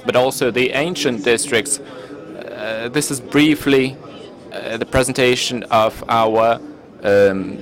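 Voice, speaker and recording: A man from Turkey whose first language is Turkish, giving a formal, measured panel presentation at a conference and sometimes searching for words. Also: mid-range voice, a bit bright. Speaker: panel speaker presenting his city. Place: conference hall.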